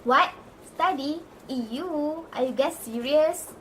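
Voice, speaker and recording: A woman's voice: high voice, slow pace, fairly bright tone, a little hiss or hum, rough recording. A woman playing a cheeky, overconfident schoolgirl in an amateur puppet show. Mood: clueless. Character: cheeky, overconfident schoolgirl who has not studied.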